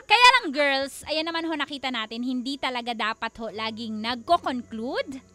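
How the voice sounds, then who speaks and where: Bright, animated, high-voiced Filipino woman radio host. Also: moderate pace, faint music behind. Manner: exclamatory, dramatic emphasis, teasing. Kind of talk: casual, playful radio banter.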